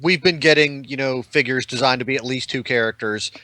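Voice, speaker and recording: Bright, forceful-voiced man in casual chat. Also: mid-range voice, a little fast, no room sound. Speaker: podcast panelist.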